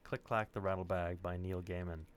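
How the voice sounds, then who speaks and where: A man's voice: deep voice, steady tone, moderate pace. A man reading stories aloud on a stream, with casual asides. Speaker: reader on a storytelling stream.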